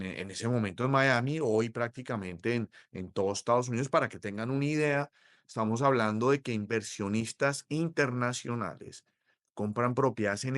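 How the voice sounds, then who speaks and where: A middle-aged Colombian man with a Colombian accent, explaining mortgages as an interview guest in a measured way, with many hesitations. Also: mid-range voice, dry recording, clean studio sound. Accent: Colombian Spanish. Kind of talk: interview conversation.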